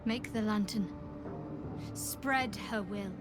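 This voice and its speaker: An actress voicing a commanding dark goddess who speaks to the hero from beyond, coaxing and persuasive, in a video game. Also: female voice, medium pitch, takes it slowly, natural voice. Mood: tempting. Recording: game audio with music under it.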